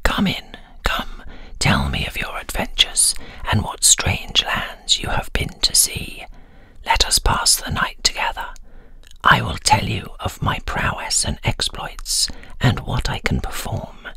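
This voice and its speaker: A woman voicing an icy, boastful old male winter spirit in a slow storybook tale. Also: extremely low pitch, wildly swooping pitch, vocal fry, bright, forceful tone, husky, dry recording, whispered, voice pushed down, full character voice. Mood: welcoming.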